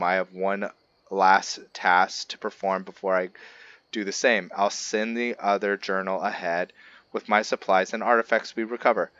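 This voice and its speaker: A man with a fairly low voice talks through a roleplay game as its host. His delivery is halting, with stumbled words and fresh starts. The recording is close and very dry, with a slightly dull top end. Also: a bit bright, dry recording. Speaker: gaming channel host. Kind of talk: roleplay gameplay commentary and reading aloud.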